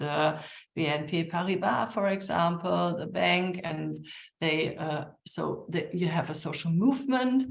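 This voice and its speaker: Halting, gravelly-voiced woman in an echoey room. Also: on the low side. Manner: halting, many fillers and restarts. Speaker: panel speaker. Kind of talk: online panel talk.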